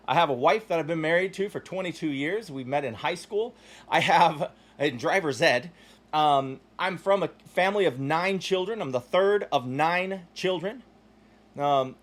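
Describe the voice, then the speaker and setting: A man in his forties hosting his own podcast, giving a blunt, profane motivational monologue that swings between loud emphatic bursts and low asides. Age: 40s. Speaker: podcast host.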